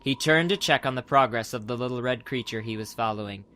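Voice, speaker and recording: A man's voice: mid-range voice, fast talker, sharp tone. A man narrating a dramatized adventure audiobook from a script as the storyteller.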